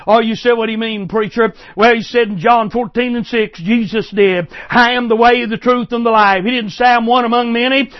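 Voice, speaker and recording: An older preacher delivers a fervent revival sermon. He repeats his points and keeps calling out to sir and ma'am in the crowd. The recording is muffled and lo-fi. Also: very high voice. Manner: impassioned, repetitive, directly addressing listeners as sir and ma'am.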